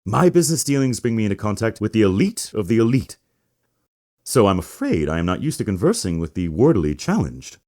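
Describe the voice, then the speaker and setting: A man's voice: fairly low pitch, big pitch swings, brisk, warm tone. A man voicing a smug, flamboyantly arrogant company president in a theatrical video game fan dub, with a pompous, grandiose way of talking. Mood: smug, boastful. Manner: pompous, grandiose, mangles big invented words.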